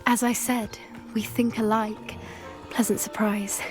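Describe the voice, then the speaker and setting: Woman as gentle teenage animal lover, British accent, higher pitch, soft and sincere, video game dialogue, prominent music underneath. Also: little pitch movement, measured pace, natural voice. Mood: grateful, friendly. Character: earnest, gentle teenage schoolgirl who loves magical beasts.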